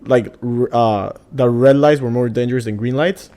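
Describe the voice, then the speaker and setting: A man's voice: mid-range voice, moderate pace, warm tone. A man, a podcast guest chatting casually and rambling with lots of fillers. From Venezuela. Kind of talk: casual interview chat.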